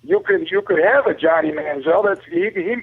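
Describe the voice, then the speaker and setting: A man's voice: sits high, moderate pace, slightly rough, no room sound. A man talking sports casually over the telephone to a radio show, colloquial and rambling. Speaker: call-in guest on sports talk show. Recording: narrow, tinny phone line.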